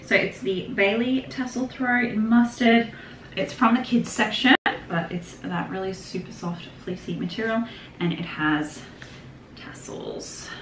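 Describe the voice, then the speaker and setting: A woman's voice: mid-range voice, a little slow. A young woman from Australia chatting casually on camera in her home craft room, rambling a little and laughing often as she talks. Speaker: vlogger. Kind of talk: casual shopping haul chat. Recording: echoey room with background noise.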